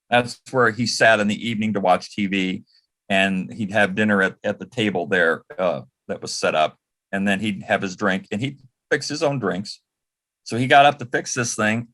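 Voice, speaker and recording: A man's voice: on the low side, fairly bright tone. A man telling anecdotes as an interview guest in a casual, conversational way, often quoting dialogue as he reminisces. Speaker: interview guest, former personal assistant to an entertainer.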